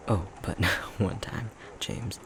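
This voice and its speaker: A man playing a tender, self-conscious young fox-shifter boyfriend in an intimate ASMR roleplay, speaking in a soft, breathy, halting murmur. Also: lowish voice, noisy background. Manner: unhurried, halting, self-deprecating chuckly storytelling.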